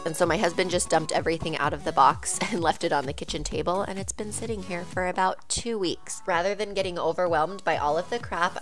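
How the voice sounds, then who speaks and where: A woman in her thirties, a bit congested, chatting candidly through a home declutter vlog voice-over. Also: on the low side. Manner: chatty and candid, friendly asides to viewers. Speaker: home vlogger.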